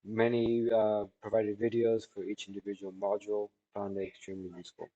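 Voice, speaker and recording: A man in his thirties giving a spoken product testimonial in a halting, measured way, with drawn-out words. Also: lowish voice, completely flat delivery, warm tone, muffled recording. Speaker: technology product reviewer. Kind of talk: spoken testimonial answering questions. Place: small indoor office room by a large window.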